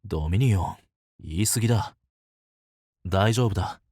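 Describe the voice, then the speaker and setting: A man's voice: low voice, moderate pace, a little mellow, dry recording, studio quality. A man playing a protective seer and mentor in a fantasy drama, sober and blunt in manner. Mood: firm, reassuring. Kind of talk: dramatic scripted voice acting.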